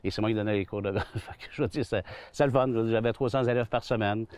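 A man's voice: fairly low pitch, steady tone, fast talker, darkish tone, dry recording. Chatty Québécois man in his sixties. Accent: Québécois French. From Quebec. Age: 60s. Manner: chatty, rambling, many restarts and fillers. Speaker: golf club general manager. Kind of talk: relaxed outdoor interview. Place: outdoors on a golf course under trees.

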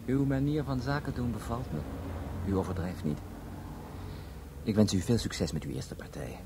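An actor playing a formal, guarded drug supplier who speaks with measured politeness in a Dutch radio play. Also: male voice, mid-range voice, big pitch swings. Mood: suave. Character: courteous, guarded foreign drug supplier, a man. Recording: noisy radio drama recording.

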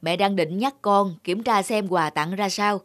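A woman's voice: mid-range voice, unhurried, natural voice. A woman voicing a caring, fussing middle-aged mother who advises her grown child in a cartoon. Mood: motherly concern. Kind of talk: cartoon dub acting.

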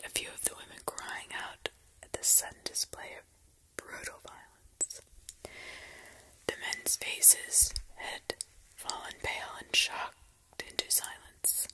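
A woman narrating a horror story entirely in a steady, hushed whisper. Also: rough recording.

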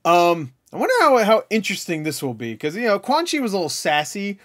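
A man's voice: high voice, huge pitch swings, quick pace, fairly bright tone. A man giving casual, off-the-cuff reaction commentary as a video host, slangy and jokey, swearing freely.

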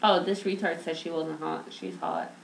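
A woman's voice: sits low, sing-song, normal speed, creaky. A young woman in casual banter, teasing and blunt, filmed on a webcam in a small bare room. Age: young adult. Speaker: co-host. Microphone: laptop webcam across the table. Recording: echoey room with faint hiss.

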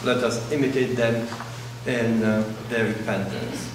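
Slow-preaching priest in an echoey church. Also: male voice, mid-range voice, little pitch movement, background noise. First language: Russian. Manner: slow, pausing delivery in short phrases. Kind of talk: sermon. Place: large church, indoors. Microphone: camera at a distance. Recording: very echoey church, distant mic.

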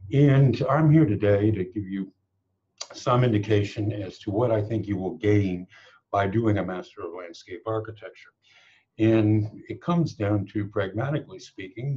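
A middle-aged American man speaks as a university lecturer, in a somewhat low and husky voice. He talks in a measured, thoughtful way, pausing often with "uh" and "okay". The recording sounds a bit dull and lo-fi, as if made on a camera in a small office. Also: a little mellow. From United States. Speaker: associate professor. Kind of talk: course promotion talk to camera.

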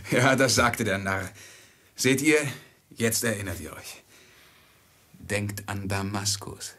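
An actor playing a loyal, anxious manservant in a 1950s radio play, with a husky voice and deferential, urgent manner. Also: male voice, fairly low pitch, slow, fairly bright tone. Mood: reminding. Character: loyal, anxious male servant of a caravan merchant.

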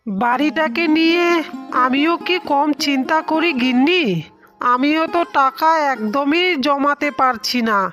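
A woman's voice: high-pitched, fairly bright tone. A woman voicing a poor, overworked village husband in a cartoon tale, plaintive and dutiful. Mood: troubled, earnest. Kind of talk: Bengali cartoon fairy-tale voice acting.

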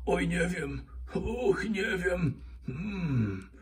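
A man's voice: high-pitched, lively intonation, drawn-out words, recorded from a distance, pitched above the performer's own voice, exaggerated voice. A man voicing a cheerful but wary daddy pig in a children's toy puppet story, speaking hesitantly. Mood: puzzled, wary.

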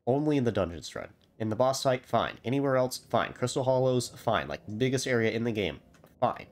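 A man's voice: mid-range voice, expressive, fairly quick. A man with a cold, sounding congested, giving rambling, wry and self-deprecating commentary over his own gameplay as a channel host.

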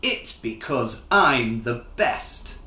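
A man's voice: medium pitch, animated, extremely slow, pushed and bright, roomy sound, exaggerated voice. A man voicing a proud, boastful, easily annoyed lion in a children's storybook, with a pompous, blustering manner.

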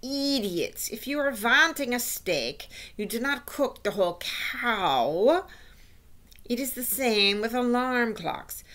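A woman's voice: on the high side, lively intonation, slow, fairly bright tone, voice pushed up. A woman voicing a bossy, scornful witch leader who scolds and gives orders imperiously in a storybook. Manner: imperious, scolding, odd foreign-style grammar.

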